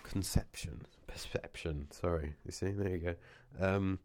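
A man talks to the camera in a very low voice, with a cold wearing it down. The sound is dry and close. He rambles loosely and keeps losing his thread. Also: steady tone, a little slow, darkish tone, no room sound. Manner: meandering, with many restarts and asides. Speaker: vlogger. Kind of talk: casual rambling chat to camera.

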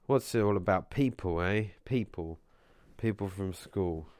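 A man talks to the camera in a very low voice, with a cold wearing it down. The sound is dry and close. He rambles loosely and keeps losing his thread. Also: measured pace, darkish tone, no room sound. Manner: meandering, with many restarts and asides. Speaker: vlogger. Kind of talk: casual rambling chat to camera.

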